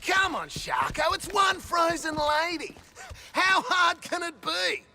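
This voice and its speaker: An actor playing a cocky, wisecracking rogue in a video game, loud and breezy. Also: male voice, very high-pitched, takes it slowly, very noisy, heavily acted.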